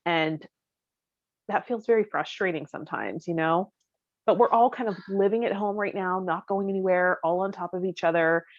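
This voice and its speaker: A woman's voice: on the low side, moderate pace, no room sound, muffled recording. A woman co-hosting a podcast, sharing personal stories in a casual, candid, conversational way. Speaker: podcast co-host. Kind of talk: casual chat, personal anecdotes.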